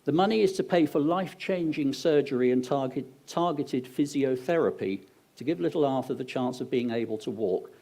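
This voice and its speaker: A man's voice: medium pitch, animated, brisk, dark tone. A man hosting an awards ceremony with an English accent, reading out sponsors with joking asides to the crowd. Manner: upbeat, joking asides to the audience. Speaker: awards host. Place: event venue on stage.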